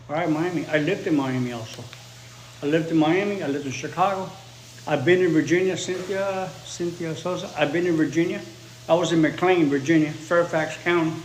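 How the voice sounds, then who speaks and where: Spanish-native guy teaching english on a live, repeats stuff slowly, faint hum behind. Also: a little high. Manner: bilingual, repeats phrases slowly for learners. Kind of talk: casual livestream chat with viewers. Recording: roomy echo, faint ambience.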